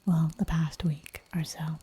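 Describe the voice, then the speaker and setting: Breathy whispering woman, dark and low. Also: little pitch movement, unhurried. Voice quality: soft whisper throughout. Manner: giggly, rambling, playful asides. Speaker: ASMR livestreamer. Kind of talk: whispered ASMR chat while drawing.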